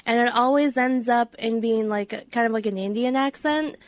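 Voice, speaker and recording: A woman's voice: fairly high pitch, normal speed, no room sound. A woman comedian chatting casually as a podcast guest, laughing often and filling her speech with "like.". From Minneapolis area, USA.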